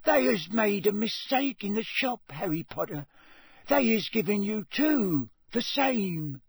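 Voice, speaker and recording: A man voicing a small, anxious, adoring house-elf servant with a squeaky voice and servile, broken speech for an audiobook. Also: very animated, unhurried, slightly rough, dry recording, full character voice. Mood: delighted. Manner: servile third-person speech with broken grammar. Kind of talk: audiobook character voice.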